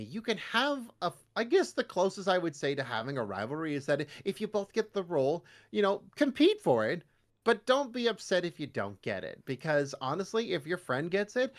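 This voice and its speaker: A Canadian man chatting casually as a podcast guest, animatedly slipping into mock voices when he quotes people. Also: on the high side. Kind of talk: casual interview chat.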